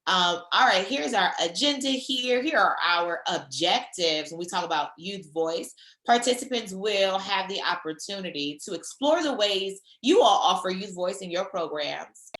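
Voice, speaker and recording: Slightly hoarse woman leading a virtual training. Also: medium pitch, expressive, moderate pace, echoey room. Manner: warm, encouraging, conversational. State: slightly hoarse, sore throat. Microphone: video call.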